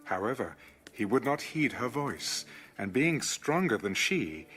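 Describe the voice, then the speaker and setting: A man narrates scripture dramatically in a mid-range voice. His scripted reading moves expressively up and down, with soft music playing faintly underneath. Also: medium pitch, big pitch swings, measured pace. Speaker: narrator of an audio Bible.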